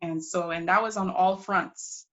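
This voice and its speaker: A woman acting as a proud, pioneering congresswoman in a live historical reenactment. Her voice sits a little low and she speaks unhurriedly, reflecting in broken, deliberate phrases. The recording is slightly dull and lo-fi. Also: fairly even intonation, a bit bright. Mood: candid, proud. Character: Shirley Chisholm, proud determined pioneering congresswoman from Brooklyn. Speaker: historical reenactor taking audience questions. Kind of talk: in-character question-and-answer reenactment.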